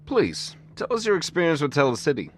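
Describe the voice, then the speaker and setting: A man's voice: fairly high pitch, wildly swooping pitch, a little fast, slight background noise. A man playing an inquisitive radio show interviewer in an audio drama. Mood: curious, presenting.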